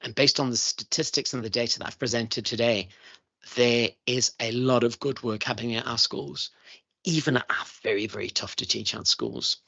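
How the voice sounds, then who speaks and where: A man's voice: medium pitch, creaky, sharp tone, no room sound. A middle-aged man giving earnest, emphatic testimony to a parliamentary committee, repeating his key points. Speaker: chief schools evaluator testifying to a committee. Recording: dull lo-fi committee room audio.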